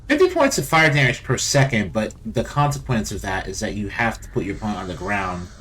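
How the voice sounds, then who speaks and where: A man's voice: lowish voice, sing-song, a little fast, a bit bright, slight background noise. A man giving casual, rambling game commentary as host, often repeating his phrases. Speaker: gaming video host.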